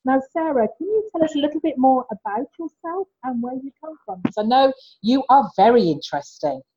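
A woman's voice: sits high, expressive, brisk, warm tone, slightly rough. A woman hosting a podcast, chatty and encouraging as she questions her guest. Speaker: podcast host. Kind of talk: interviewing a guest. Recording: dull lo-fi recording.

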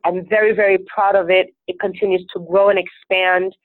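A woman's voice: mid-range voice, little pitch movement, fairly quick, no room sound. A woman from Venezuela whose first language is Spanish, a life coach answering interview questions in an earnest, upbeat, self-assured way. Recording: tinny phone-like line, dry.

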